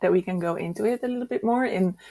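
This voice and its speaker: A woman's voice: lowish voice, quick pace, warm tone. A woman co-hosting a book discussion in a casual, easygoing, chatty way.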